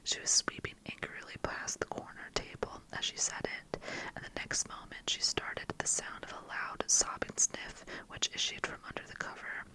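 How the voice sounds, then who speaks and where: A narrator reading a classic children's novel aloud in a soft, steady whisper. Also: moderate pace, rough recording. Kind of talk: whispered ASMR story reading.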